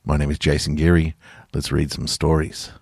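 A man's voice: very deep voice, completely flat delivery, normal speed, vocal fry, loud music behind the voice. A man hosting a story podcast, talking to listeners and reading scripted material. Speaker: podcast host and story writer.

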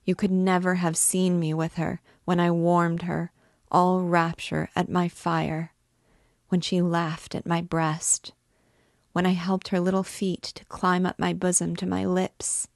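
A woman's voice: fairly low pitch, creaky, no echo, studio quality, exaggerated voice. A woman voicing a grieving recluse mother who wails and pleads for her lost child. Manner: wailing, pleading lament.

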